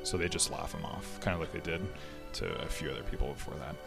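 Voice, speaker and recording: A man's voice: extremely low pitch, fast talker, gravelly, over music. A man hosting a history podcast, explaining events in a casual way, with frequent fillers and restarts. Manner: conversational, many fillers and restarts.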